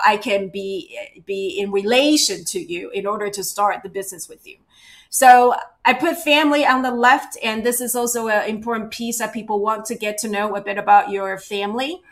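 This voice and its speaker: A woman giving a virtual workshop as a cultural awareness trainer, talking conversationally and checking in with the audience often. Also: mid-range voice. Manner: conversational, checks in with frequent "right?" and "you know". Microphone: video call.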